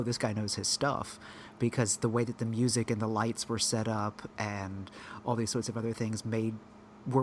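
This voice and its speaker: A man's voice: lowish voice, a little hiss or hum. A man co-hosting a podcast, talking casually and rambling through his points with frequent restarts as he thinks aloud. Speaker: podcast co-host.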